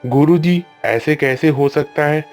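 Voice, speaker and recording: A man's voice: a little high, a little slow, a little mellow, soft background music, pitched above the performer's own voice. A man voicing a worried old king who pleads with his guru and scolds his daughter in a cartoon story. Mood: alarmed. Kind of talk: Hindi cartoon storybook voice acting.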